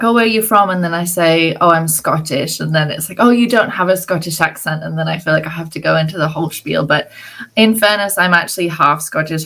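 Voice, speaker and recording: A woman's voice: sits low, fairly bright tone. A British woman who works as a women's mentor, talking as a podcast guest in a reflective, rambling way, thinking aloud with fillers. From British, raised partly abroad. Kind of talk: casual interview chat. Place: farm home in a village.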